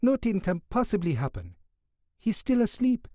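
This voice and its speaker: Young female nurse, soft and coaxing; a man reading her lines. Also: high-pitched, wildly swooping pitch, moderate pace, dry recording, clean studio sound, voice pushed up, heavily acted. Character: soft-spoken young woman, a hospital staff nurse.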